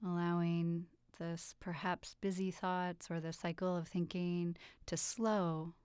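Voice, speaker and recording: A woman leading a guided relaxation meditation with soothing, gentle instructions and unhurried pauses. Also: lowish voice, little pitch movement, smooth, dry recording, clean studio sound. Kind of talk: guided meditation, yoga nidra.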